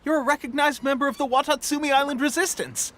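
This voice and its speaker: An actor playing a cheerful, boastful young resistance soldier in a video game, cocky and chummy in manner. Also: male voice, very high voice, steady tone, fast, background noise. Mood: excited, boastful. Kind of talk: English video game voice acting. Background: faint game music.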